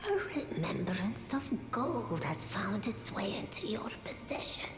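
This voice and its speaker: An actress playing an ancient, solemn old female oracle in a fantasy game, with a breathy, aged voice and a reverent, portentous way of speaking. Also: medium pitch, expressive, reverberant, music in the background, lightly acted. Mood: solemn, knowing. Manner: slow, reverent, portentous, archaic phrasing. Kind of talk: scripted fantasy game dialogue.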